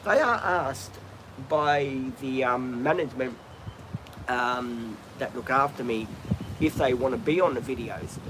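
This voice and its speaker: A man's voice: mid-range voice, measured pace. Chatty Australian man, noisy outdoor stream. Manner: chatty, rambling, frequent ums and restarts. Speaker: livestream host. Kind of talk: casual live-stream chat. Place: outdoors, birds in trees nearby.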